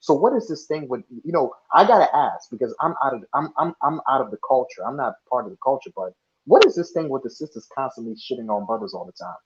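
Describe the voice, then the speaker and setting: A man's voice: medium pitch, expressive, quick pace. A young man born in Ghana and raised in the States, talking earnestly as a guest on an online panel, often restarting his sentences. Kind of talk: casual online panel discussion. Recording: dull lo-fi audio.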